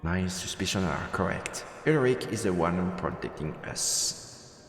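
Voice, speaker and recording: Low-voiced man streaming, heavy echo. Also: lively intonation, measured pace. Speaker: game streamer. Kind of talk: let's play commentary, reading story text aloud.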